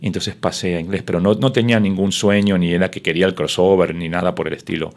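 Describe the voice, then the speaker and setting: A man's voice: sits low, steady tone, fast. A middle-aged man, a sports broadcaster whose first language is Spanish, telling his story in an interview with many fillers and restarts.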